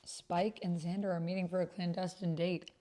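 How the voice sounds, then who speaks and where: Lower-pitched woman chatting on a livestream. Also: little pitch movement, moderate pace, dark tone. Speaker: streamer hosting an actual play. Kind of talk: casual livestream chat.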